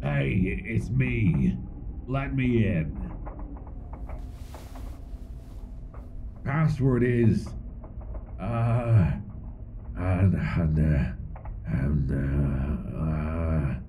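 Man acting hungry pleading monster, horror audio roleplay, deep, swinging intonation, menacing, drawn-out, insistent, faint background noise. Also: animated, narrow, tinny audio, heavily acted. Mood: halting, coaxing. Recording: close binaural recording with background noise.

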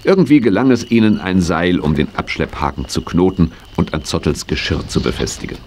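Deep-voiced male storyteller. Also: animated, fairly quick, a little mellow. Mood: narrating. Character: storytelling narrator of a children's tale. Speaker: narrator. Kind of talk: scripted narration for children's audio play. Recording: noisy vintage audio play recording.

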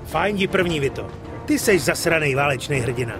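An actor playing a brash, foul-mouthed gangster sidekick in a dubbed video game, crude and sarcastic. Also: male voice, fairly high pitch, lively intonation, music in the background, natural voice. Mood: excited, taunting.

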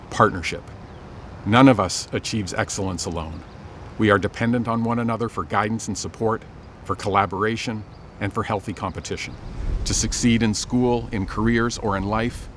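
A middle-aged man giving a formal, scripted commencement address as a dean, speaking in a measured, earnest way with deliberate phrasing. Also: fairly low pitch, slight background noise. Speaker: business school dean. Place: outdoors on an open campus plaza.

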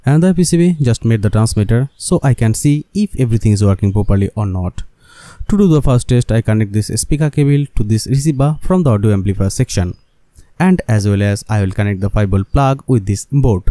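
A man's voice: medium pitch, dark tone, dry recording. A man who speaks English as a second language, narrating a scripted DIY electronics tutorial and addressing viewers directly. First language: not English. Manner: non-native phrasing, addresses viewers as guys. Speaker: electronics hobbyist presenter.

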